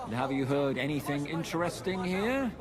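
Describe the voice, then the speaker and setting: An actor playing a plain-spoken, cynical military commander in a medieval video game, blunt and sardonic. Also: male voice, a little high, animated, moderate pace, natural voice. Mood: sardonic, frank. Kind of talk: dramatic video game voice acting. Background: crowd.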